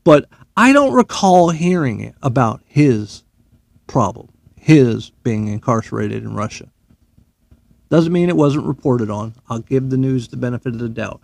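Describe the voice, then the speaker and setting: A man's voice: medium pitch, expressive, measured pace, creaky, a little mellow, no echo. A man, a military veteran, delivering a profane, sarcastic and heated opinion monologue.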